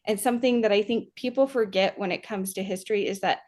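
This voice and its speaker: A woman's voice: medium pitch, fairly even intonation, fast talker, darkish tone. A woman co-hosting a podcast, explaining her thoughts in an earnest, reflective, casual way. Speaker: podcast co-host. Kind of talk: casual chat.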